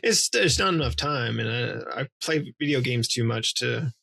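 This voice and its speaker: A man's voice: medium pitch, lively intonation, normal speed, vocal fry, sharp tone, slightly rough, no room sound. A man co-hosting a podcast, chatting casually among friends. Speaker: podcast co-host.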